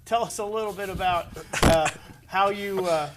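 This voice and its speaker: A man's voice: sits high, normal speed, heavy background noise. A middle-aged American man hosting a conversational sports interview, asking long, somewhat hesitant questions. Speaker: host of a high school sports interview show.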